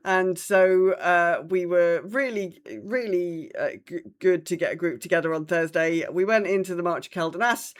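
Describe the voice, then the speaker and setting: A woman's voice: on the low side, normal speed, few pauses. A woman hosting a podcast, chatting casually about her week of gaming and acting out reactions as she retells things. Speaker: podcast host.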